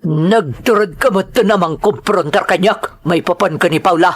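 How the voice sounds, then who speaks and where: A man's voice: high-pitched, moderate pace. A man playing an arrogant, bullying male coworker who taunts and threatens, in an audio drama. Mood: taunting.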